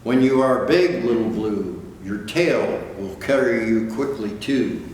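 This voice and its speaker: An older man voicing a big, gentle, reassuring father whale in a patient, measured storybook manner. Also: medium pitch, close to an everyday voice. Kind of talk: storybook read-aloud character voice. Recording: distant, very echoey hall, faint noise.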